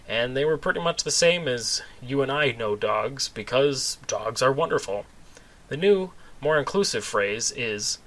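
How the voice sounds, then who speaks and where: A man's voice: medium pitch, sharp tone. A man narrating a scripted comedic story as its host, in a conversational way with wry asides.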